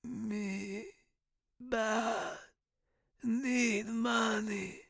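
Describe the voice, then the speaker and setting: A storyteller voicing a dying old male professor in a hoarse, choked whisper, with halting broken words. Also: mid-range voice, fairly even intonation, fairly bright tone, no room sound, studio quality, pitched above the performer's own voice, exaggerated voice. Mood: dying gasps. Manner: halting, broken one-word gasps.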